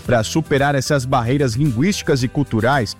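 A man reporter narrating a news feature as a scripted voice-over, in a measured way. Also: medium pitch, soft background music.

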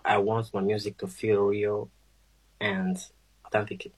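A Burundian man whose first language is Kirundi, answering radio interview questions about his music in slow, halting English with many fillers. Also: fairly low pitch, completely flat delivery, slightly rough, low-quality recording. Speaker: musician guest.

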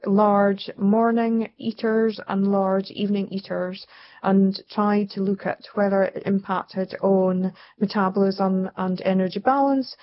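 Brief: woman scientist, calm explainer, mid voice, dull broadcast audio. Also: medium pitch, a little slow. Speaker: researcher guest. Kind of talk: radio interview answer.

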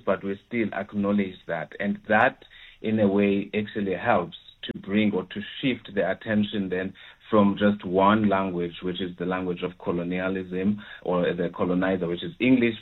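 Hesitant academic guest, deepish voice, heard over a thin phone line. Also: male voice. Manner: hesitant, many fillers and restarts. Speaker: education researcher. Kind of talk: interview answers.

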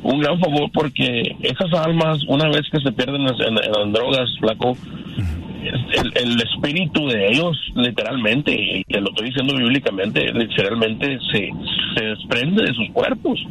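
A man in his fifties with a Mexican accent, giving personal testimony as a radio caller in a halting, earnest, repetitive way. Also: mid-range voice, lively intonation, gravelly, a bit bright, poor audio. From Canada. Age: 50s.

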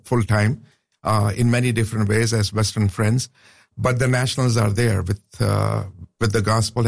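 A man's voice: medium pitch, vocal fry. Earnest man, radio guest. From Pakistan. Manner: earnest, with frequent restarts and "uh" fillers. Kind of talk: casual radio interview.

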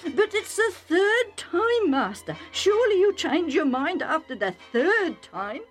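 Woman doing a high rough herdsman, pleading. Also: very animated, unhurried, faint music behind, full character voice. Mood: agitated. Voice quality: rustic working-class grammar. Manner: agitated, insistent, breathless pleading. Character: rough excitable male herdsman, bleeding and pleading.

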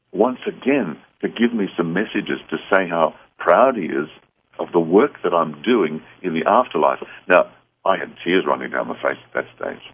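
A man with an Australian accent and a husky voice, speaking earnestly as a guest on a radio talk show. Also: deep voice. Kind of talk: earnest interview conversation. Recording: dull phone-like radio line.

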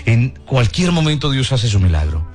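A man giving a fervent spoken sermon on the radio, switching between solemn low tones and lively quoted voices. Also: moderate pace. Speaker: radio presenter giving a morning message. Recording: radio broadcast with music underneath. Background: background music.

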